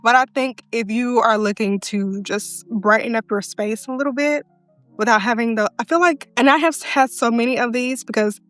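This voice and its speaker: A woman with a soft voice, chatting casually to the viewer as she tours her filming setup in her living room, holding a wireless mic. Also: on the high side, normal speed, dry recording. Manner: chatty, talks straight to the viewer. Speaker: content creator. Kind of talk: casual home setup tour vlog. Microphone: handheld wireless mic. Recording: dry close mic with faint music.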